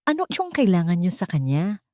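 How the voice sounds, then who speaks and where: A woman's voice: on the low side, wildly swooping pitch, a little fast, a little mellow, no room sound. A storyteller woman acts out a stern lady of the house in a Filipino audio drama. She is curt, cold and bitter, with a Filipino accent. The recording is dry and close. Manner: hard, curt.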